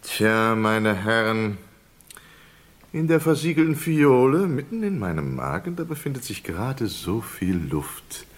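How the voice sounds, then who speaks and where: Slow, swooping, mockingly polite prisoner. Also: male voice, medium pitch, big pitch swings. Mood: smug taunting. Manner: theatrically courteous, savoring pauses, taunting. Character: polite, mocking condemned male prisoner with a bomb inside. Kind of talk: German radio crime drama acting.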